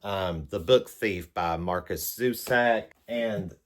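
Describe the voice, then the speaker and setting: A man's voice: low voice, takes it slowly. A man vlogging as a book reviewer, talking casually to camera in a rambling, hesitant way as he works through prompts. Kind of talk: casual chat to camera, answering numbered prompts.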